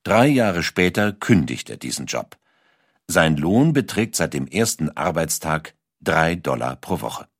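A man's voice: sits low, big pitch swings, unhurried, breathy edge, no room sound, studio quality. A man reads scripted documentary narration as an audiobook narrator.

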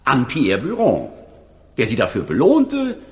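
Sarcastic judge with swooping delivery. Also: male voice, mid-range voice, wildly swooping pitch, measured pace. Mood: stern, impatient. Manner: sarcastic, stern, emphatic. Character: sarcastic, irritable male presiding judge in court. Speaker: actor in radio crime drama. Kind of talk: German radio play acting.

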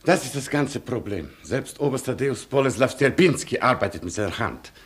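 Guy playing proud huffy colonel in a radio drama, pompous, pitch jumps around a lot. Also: lively intonation, normal speed, lightly acted. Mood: indignant. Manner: pompous, indignant. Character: proud, indignant male colonel. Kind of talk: radio play acting.

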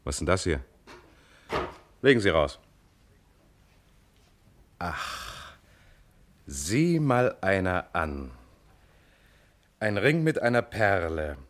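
A shrewd police inspector speaks in a low register with formal, controlled courtesy. His measured, authoritative delivery belongs to a mid-century German radio crime play.

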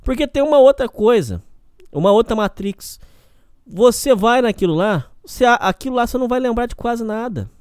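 A man's voice: extremely high pitch, expressive, normal speed, darkish tone, smooth, no echo, clean studio sound. A Brazilian man with a countryside caipira accent hosts a casual solo podcast. He rambles, repeats himself and speaks straight to the listener. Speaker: podcast host.

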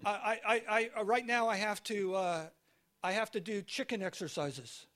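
High-voiced American preacher in short phrases. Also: male voice, fairly bright tone. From California. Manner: short phrases with pauses for translation, frequent restarts. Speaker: guest preacher. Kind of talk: sermon delivered through an interpreter.

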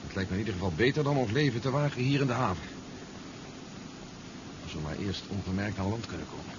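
A man's voice: on the low side, expressive, fast, close to an everyday voice. Male actor as decisive, curt man in charge on a secret mission, vintage radio serial, muffled noisy recording. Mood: calm, cautious. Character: man on a covert mission, decisive, impatient, calm leader. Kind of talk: 1970s Dutch science-fiction radio play acting. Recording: dull, noisy 1970s radio drama recording.

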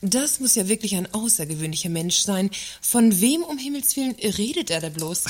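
An actress playing a sharp-tongued works councillor in a satirical radio play, dry, articulate and disdainful. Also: female voice, medium pitch, expressive, moderate pace, close to an everyday voice. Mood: dry sarcasm.

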